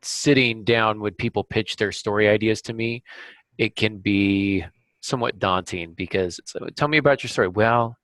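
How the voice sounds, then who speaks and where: Low-voiced man hosting a podcast. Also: normal speed, fairly bright tone, no room sound, poor audio. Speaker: podcast host. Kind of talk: casual podcast chat.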